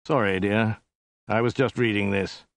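A man's voice: on the low side, steady tone, normal speed, creaky, no room sound, studio quality. A man voicing a world-weary Mongol emperor who talks in vague, sighing, domestic understatement. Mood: absent, vague. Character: world-weary, absent-minded, battle-worn male Mongol emperor.

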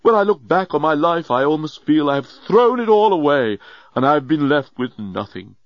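Storybook old alien genius, male, acted by a man: drunk, effusive, swooping pitch, slightly muffled recording. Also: big pitch swings, normal speed, dry recording. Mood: lovelorn, lamenting.

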